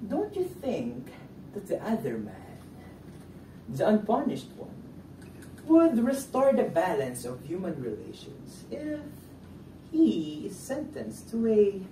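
A man's voice: high-pitched, huge pitch swings, takes it slowly, pauses often, exaggerated voice. A man playing a cunning, bitter forger in a stage play, declaiming in a mocking way. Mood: shrewd, persuasive. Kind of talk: theatrical one-act stage play acting. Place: furnished indoor stage set, distant camera. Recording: echoey room, distant mic, background noise.

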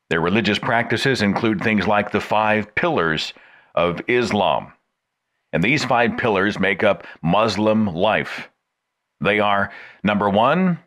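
Animated man hosting a talk podcast. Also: lowish voice. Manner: expressive, emphatic delivery with wide swings. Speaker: podcast host. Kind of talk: scripted radio talk and interview.

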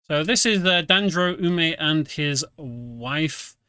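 A man's voice: on the high side, lively intonation, slow pace, pushed and bright, clear, resonant tone, dry recording. A man doing casual, informal live commentary as he plays a video game, explaining as he goes. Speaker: streamer, speedrunner. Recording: game music behind the voice. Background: video game music and vehicle sounds.

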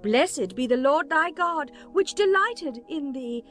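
An awed queen in an audio scripture drama, her voice high and formal. She speaks in reverent, declamatory archaic phrases over a music bed. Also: animated, slow, a bit bright, heavily acted. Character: visiting queen, awed and reverent toward a king.

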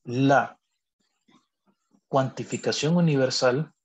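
Husky-voiced man calmly teaching math. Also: mid-range voice. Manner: measured, deliberate, thinks aloud with pauses and self-corrections. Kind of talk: explaining logic notation on a digital whiteboard.

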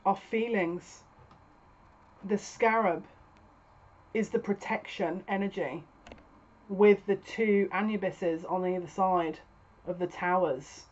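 A woman's voice: medium pitch, slow pace, long pauses, a little mellow. A woman in her thirties giving a casual, spoken tarot reading to camera as a psychic reader. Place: small furnished room at home, indoors. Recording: dull lo-fi webcam sound.

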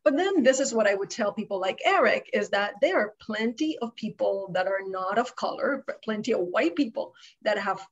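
A woman's voice: medium pitch, animated, normal speed, muffled recording. A middle-aged woman from Puerto Rico speaking on a panel over a video call, explaining her views reflectively and often checking in with "right?". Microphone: video call webcam.

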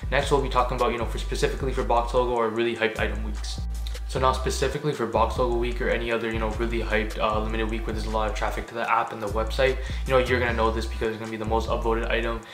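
A young man from Canada giving a casual how-to talk to camera in a small home room, quick and chatty with lots of fillers. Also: lowish voice, little pitch movement, a bit bright, echoey room, soft background music. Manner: quick and chatty, many fillers like "you know". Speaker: sneaker vlogger.

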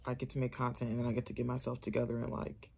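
A woman's voice: rumbling low, completely flat delivery, rapid-fire, gravelly, warm tone, slight background noise. A young woman chatting casually to camera in a small bedroom, rambling with lots of fillers. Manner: rambling, loose, filler-heavy talk. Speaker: vlogger. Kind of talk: casual vlog chat.

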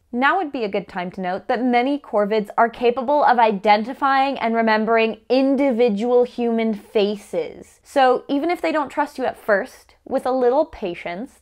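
A young woman presents an instructional guide in a fairly high voice. She reads from a script in a measured way, enunciating carefully. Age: young adult. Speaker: presenter of a beginner's birdwatching guide.

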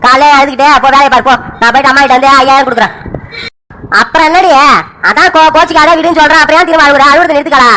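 A woman playing a gruff husband, very fast talker, brusque, bright high voice. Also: steady tone, slight background noise, low-quality recording. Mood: placating. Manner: brusque, rapid, calls wife "di". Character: irritable husband, gruff then soothing.

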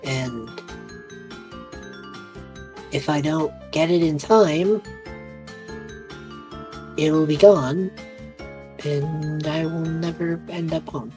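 A woman playing a tabletop roleplaying game, speaking casually and haltingly in character. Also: sits low, dark tone, soft background music.

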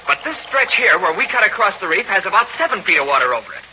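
A male actor playing a confident, quick-witted American teenage hero in a 1940s radio serial, speaking earnestly. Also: high voice, very animated, sharp tone, exaggerated voice. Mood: urgent, confident. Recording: muffled noisy 1940s radio broadcast.

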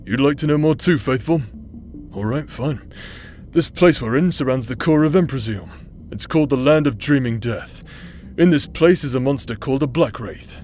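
A man's voice: medium pitch, normal speed, darkish tone, slight background noise, natural voice. A man acting out a cocky, battle-worn adventurer, protective and teasing, in an audio roleplay. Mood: wry.

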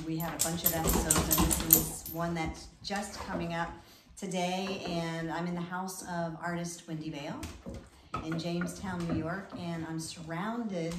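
A woman with a lowish voice hosts a podcast in a chatty, upbeat way, with plenty of loose asides. She sounds distant in an echoey home dining room, with noise behind. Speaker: podcast host. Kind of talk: casual podcast chat. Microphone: far room camera.

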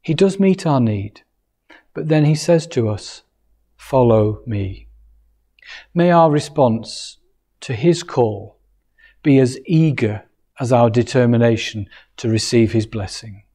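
A man in his fifties preaching a sermon as a church officer, in a measured, earnest manner, speaking indoors in a church hall. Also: medium pitch, mellow. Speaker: Salvation Army officer preaching.

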